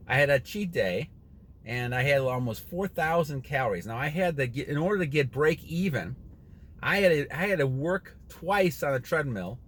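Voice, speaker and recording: A man's voice: fairly high pitch, a bit bright, slight background noise. Earnest rambling man in his sixties. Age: 60s. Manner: rambling, earnest, repeats himself, clears throat often. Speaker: channel host. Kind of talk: casual motivational monologue.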